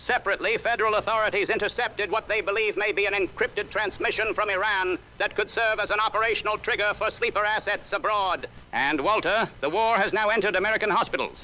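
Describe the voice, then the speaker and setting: A man reporting as a Washington correspondent in a formal, measured 1930s radio newscast style. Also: sits high, sharp tone. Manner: formal, measured, old-time announcer cadence. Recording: lo-fi vintage radio sound with faint hiss.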